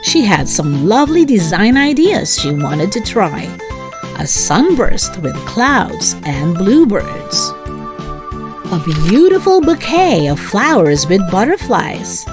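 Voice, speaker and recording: Woman, storybook read-aloud host, unhurried delivery, expressive, highly varied intonation, addressing children. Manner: expressive, addressing young listeners. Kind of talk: scripted children's story narration. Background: music.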